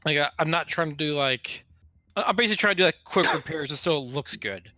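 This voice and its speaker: A man's voice: on the high side, animated, fast, bright, forceful tone, no echo. A man chatting casually as a player in a tabletop roleplaying game.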